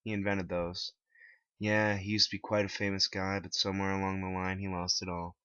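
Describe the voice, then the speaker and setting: Deep-voiced man narrating, bright and forceful. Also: level tone, brisk, smooth, no room sound. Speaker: author reading his own story. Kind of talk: scripted dramatic narration. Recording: dull lo-fi, dry close recording.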